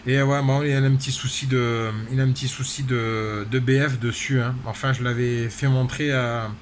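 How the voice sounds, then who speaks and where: A man's voice: mid-range voice, little pitch movement, normal speed. A man chatting casually as an amateur radio operator, peppering his talk with tag words. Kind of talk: casual radio contact chat. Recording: clear background noise.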